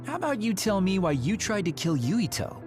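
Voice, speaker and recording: A man's voice: high voice, big pitch swings, normal speed, over music. An actor playing a flippant young male assassin in a video game, glib, evasive and teasing. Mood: sly, unrepentant.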